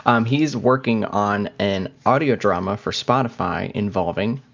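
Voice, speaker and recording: A man's voice: fairly low pitch, unhurried, a bit bright. A man co-hosting a podcast in a casual, conversational style. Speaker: podcast co-host. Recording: slightly dull, lo-fi sound.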